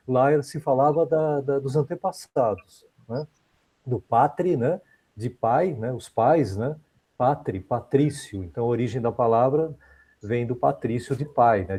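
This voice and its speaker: A man's voice: medium pitch, warm tone. A man in a study group on a video call, explaining a word while thinking aloud with many restarts.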